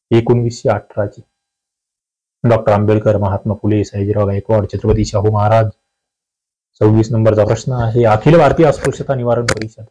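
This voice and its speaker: A man's voice: medium pitch, mellow, low-quality recording. A man with a Maharashtrian accent teaching an exam-prep lesson, reading questions and answers aloud and stressing points with rhetorical questions. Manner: instructive, emphatic, often asks rhetorical questions.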